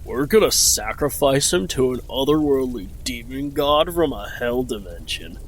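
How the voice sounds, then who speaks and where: A man's voice: medium pitch, lively intonation, normal speed, slight background noise, natural voice. An actor playing a bumbling, self-pitying teenage male cult leader in a comedic horror audio drama, clueless and petulant, talking in casual bro slang. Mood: casual, sincere.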